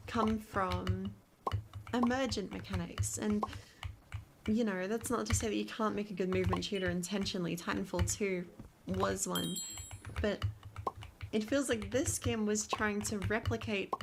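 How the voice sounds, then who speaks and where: A woman's voice: mid-range voice, background noise. A woman with an Australian accent, chatting casually as a streamer, laughing often and rambling with fillers. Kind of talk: casual chat.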